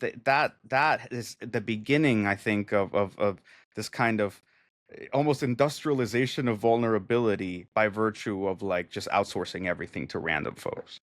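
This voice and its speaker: A man's voice: fairly low pitch, moderate pace, no room sound. A man co-hosting a podcast, talking in a rambling, profane, argumentative way. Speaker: podcast co-host. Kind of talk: casual chat.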